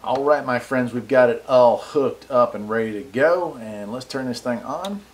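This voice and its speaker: A man's voice: mid-range voice, moderate pace, background noise. An American man hosting a casual product unboxing and review, talking in a folksy, enthusiastic way. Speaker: radio review host.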